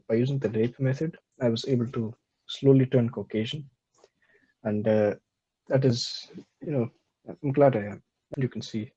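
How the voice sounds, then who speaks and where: A man voicing a boastful, self-styled male herbal doctor who brags in a pompous, deadpan way, on a dull lo-fi recording. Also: medium pitch, husky.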